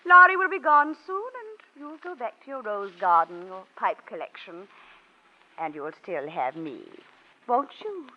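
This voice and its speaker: An actress playing an imperious, sarcastic middle-aged wife, condescending and haughty, in 1940s radio drama style. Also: female voice, mid-range voice, sing-song. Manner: condescending, sarcastic, drawn-out endearments. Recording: muffled, noisy old-time radio recording.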